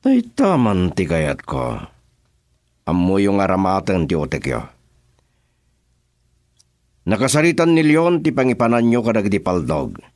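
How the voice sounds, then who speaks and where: Give the radio drama a calculating male crime boss with a Filipino accent. His voice sits low and moves widely as he lays down orders. The sound is dry and close. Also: huge pitch swings, a little slow, pauses often, a little mellow, no echo, exaggerated voice. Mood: commanding.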